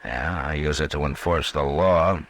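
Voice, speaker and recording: An actor playing a steady, level-headed frontier marshal with an American accent, measured and authoritative, in an old-time radio western. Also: male voice, rumbling low. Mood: reasoning. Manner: measured, plain-spoken, authoritative.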